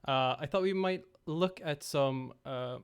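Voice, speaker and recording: A young man hosting a casual game-creation livestream from home on a headset, slightly raspy after being ill. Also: a little high, unhurried. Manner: relaxed, rambling, talks to chat.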